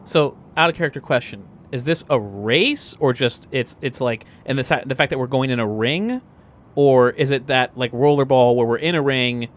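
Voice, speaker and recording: A man's voice: medium pitch, normal speed. A man playing a tabletop game, talking casually as he reasons things through and explains his thinking.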